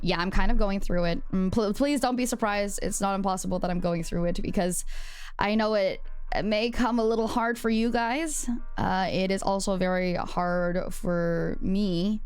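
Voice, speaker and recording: A woman's voice: mid-range voice, clean tone. A woman streaming live, chatting casually and playfully, swearing freely as she talks to her viewers. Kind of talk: casual livestream chat.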